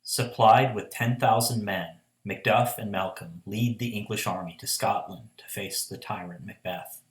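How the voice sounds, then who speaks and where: Lowish male narrator in echoey room. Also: unhurried. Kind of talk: scripted radio play narration. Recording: echoey room, roomy sound.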